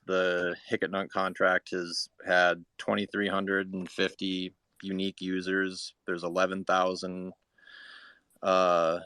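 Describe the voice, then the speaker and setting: A man explaining things in a halting, matter-of-fact way in a casual live audio chat. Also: deep voice, a bit bright, clean tone, no echo. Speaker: participant reporting figures.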